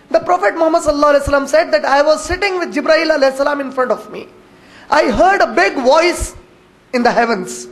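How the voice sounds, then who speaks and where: A man, an Urdu speaker, gives a religious lecture as a Quran teacher. He speaks in a measured way but rushes through honorific phrases. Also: very high voice, fairly bright tone. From India.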